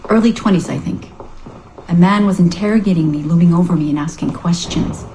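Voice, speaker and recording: An actress playing an earnest, wry, driven research scientist in a video game. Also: female voice, fairly low pitch, brisk, dark tone, slight background noise, lightly acted, low-quality recording. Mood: wry, curious. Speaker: researcher and test subject.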